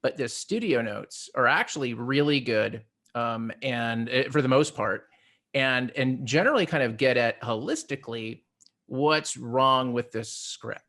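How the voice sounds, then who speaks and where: A man's voice: mid-range voice, unhurried, pushed and bright. A man co-hosting a podcast, talking in an opinionated, casual way with blunt, emphatic, sometimes profane phrasing. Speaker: podcast co-host. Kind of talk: opinionated casual panel discussion.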